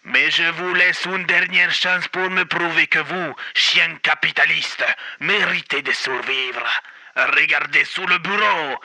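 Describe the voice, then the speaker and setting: An actor playing a theatrical, smug spy handler narrating a game in a radio comedy. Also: male voice, fairly high pitch, takes it slowly, gravelly, sharp tone, no echo, heavily acted. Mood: smug menace. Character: theatrical male spy handler, smugly instructing.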